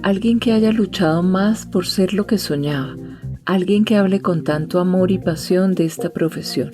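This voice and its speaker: A Colombian woman reading scripted narration as the host of a podcast. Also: on the low side. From Cali, Colombia. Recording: music behind the voice. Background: music.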